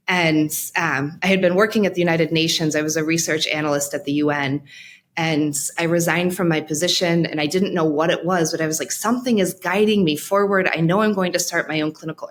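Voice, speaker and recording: A woman guest, a mental health practitioner, telling a personal story in an interview in a reflective, halting way with frequent restarts and pauses. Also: fairly low pitch.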